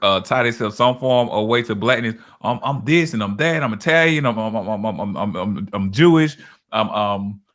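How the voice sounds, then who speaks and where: A man's voice: on the low side, lively intonation, moderate pace, fairly bright tone. A man from the United States hosting a casual podcast chat, often repeating words as he talks and acting out quoted voices. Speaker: podcast host.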